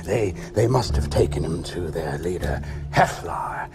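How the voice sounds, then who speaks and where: A man's voice: low voice, expressive, unhurried. A middle-aged man playing a pompous, wry barbarian chieftain holding court in a fantasy film, with a grandiose manner.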